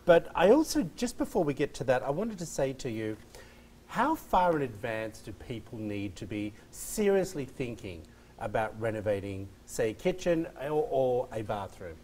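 A man's voice: medium pitch, big pitch swings, normal speed, a little mellow, slight background noise. A middle-aged man hosting a daytime TV show from a studio set, upbeat and chatty, on a lapel mic. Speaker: TV show host. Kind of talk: morning TV chat.

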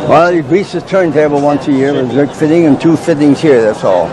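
An older man explains his wind machines in a plain, matter-of-fact way, his voice sitting a little high for a man. He is taped outdoors at a fair on a camcorder, and a clear hiss of background noise runs under his voice. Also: brisk. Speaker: wind turbine maker. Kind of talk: explaining to fairgoers. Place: outdoor fairground. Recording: noisy outdoor camcorder audio.

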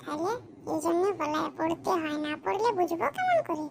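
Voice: big pitch swings, moderate pace, creaky, very noisy, full character voice. An actor voicing a cheeky, grumbling schoolboy in a comedy cartoon, with a childlike, colloquial way of talking. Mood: dismayed. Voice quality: high-pitched, childlike. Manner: colloquial, grumbling, mildly foul-mouthed. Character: cheeky grumbling schoolboy, unprepared for exam, scheming to cheat.

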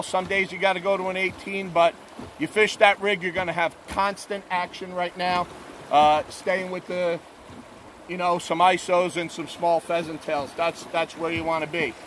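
High-voiced man reporting from a river boat. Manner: relaxed, plainspoken, filler-heavy. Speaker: fishing guide. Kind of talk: on-the-water fishing report. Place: outdoors on a drift boat on a river. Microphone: camera mounted on the boat at arm's length. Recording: faint water and outdoor noise. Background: rushing river water.

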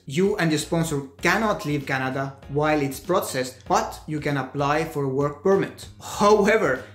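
A young man from Estonia with an Estonian accent, giving earnest, deliberate advice in a scripted vlog, talking with his hands. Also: fairly high pitch, roomy sound. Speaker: vlogger.

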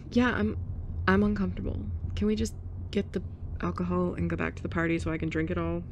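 A young woman in a scripted roleplay monologue, abrasive and sarcastic, with awkward soft laughs and sighs. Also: fairly low pitch, fairly even intonation, fairly quick, muffled recording, a little hiss or hum. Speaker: ASMR roleplay performer.

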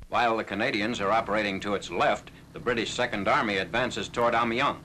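A man's voice: lowish voice, fairly even intonation, quick pace, bright, forceful tone. A man reading a scripted 1940s military newsreel narration in a formal, factual manner. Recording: noisy 1940s newsreel soundtrack.

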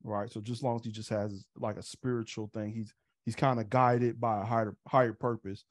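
A man's voice: on the low side, little pitch movement, fairly quick, no room sound. A man in his thirties hosting an online meetup from a room at home, talking in a casual, easygoing way with restarts and uhs. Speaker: host.

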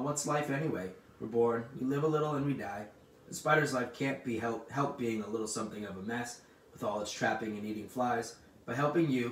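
A man voicing a dying female spider in a storybook, gentle but firm, with quiet, composed, formal speech. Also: mid-range voice, lightly acted. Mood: reflective. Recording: roomy, distant mic with faint noise.

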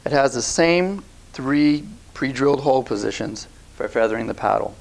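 A man in his thirties giving calm step-by-step product instructions on a lapel mic, recorded in a bare indoor room. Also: mid-range voice, moderate pace, gravelly. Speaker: product demonstrator. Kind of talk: scripted instructional voice-over.

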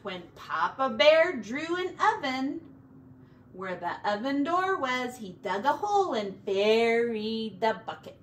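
A middle-aged woman, a teacher reading a picture book to children in an expressive, deliberate way, recorded indoors from a few feet away. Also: on the high side. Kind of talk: animated children's storybook read-aloud. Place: small home room, indoors. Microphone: camera a few feet away. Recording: distant camera mic, faint background noise.

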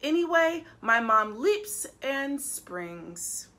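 A woman's voice: high voice, sing-song, very slow, bright, forceful tone, slight background noise, exaggerated voice. A woman voicing a small, upset monkey child from a children's storybook, plaintive and insistent as it protests. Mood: protesting, insistent. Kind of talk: children's storybook read-aloud.

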